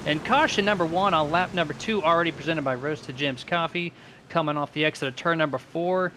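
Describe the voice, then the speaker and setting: A man's voice: on the high side, fairly quick, background noise. A man doing live play-by-play commentary on a sim race in a casual, conversational manner.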